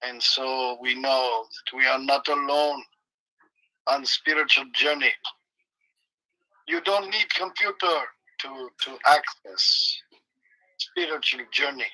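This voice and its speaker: Guy w a real bright sharp voice, choppy broken english, deadpan jokes, slow careful meditation guide. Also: mid-range voice, low-quality recording. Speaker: guest co-host. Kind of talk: rambling comic chat turning to guided meditation.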